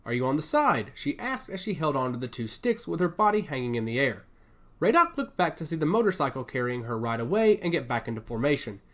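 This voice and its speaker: A man reading a scripted dramatic story as narrator, in an expressive, descriptive way. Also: mid-range voice, brisk. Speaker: audio fiction narrator.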